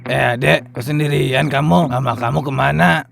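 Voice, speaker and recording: A man's voice: medium pitch, steady tone, normal speed, creaky, a bit bright, faint music behind. A man voicing a hapless, grumbling security guard with a Jakarta Betawi accent in a comic cartoon. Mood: easygoing.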